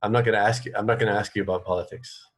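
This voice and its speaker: Low-pitched English man hosting radio chat. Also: steady tone, racing. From England. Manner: rambling, hesitant, self-correcting. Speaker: radio show host and interviewer. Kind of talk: casual rambling radio interview chat.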